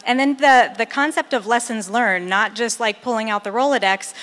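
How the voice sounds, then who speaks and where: A woman's voice: medium pitch, a bit bright. A woman moderating a live roundtable event, facilitating informally in a meeting room. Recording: long reverb of a hall.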